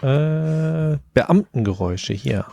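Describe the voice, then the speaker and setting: A man's voice: on the high side, lively intonation, takes it slowly, gravelly, warm tone, a little hiss or hum. A man explaining things in casual conversation, hesitating and repeating phrases as he goes. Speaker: podcast participant who made the sound effects.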